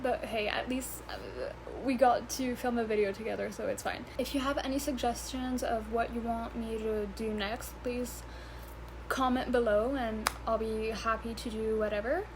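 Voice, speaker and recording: Giggly young woman with a slightly high voice. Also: moderate pace, noisy background. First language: not English; English is her third language. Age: 20s. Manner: chatty, giggly, swearing, stumbling over words. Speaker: vlogger. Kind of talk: casual rambling vlog chat.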